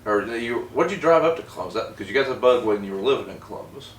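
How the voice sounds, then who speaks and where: Fast-talking American man, roomy sound. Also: medium pitch, lively intonation. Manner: informal, folksy. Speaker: interviewer, channel host. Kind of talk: casual chat, interviewing a friend. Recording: roomy, distant mic with faint noise.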